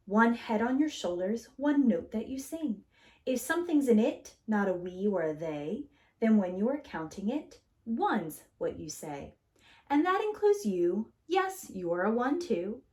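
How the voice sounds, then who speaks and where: Female preschool storyteller: theatrical picture-book read-aloud, highly animated pitch, stretches key words for small children. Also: unhurried.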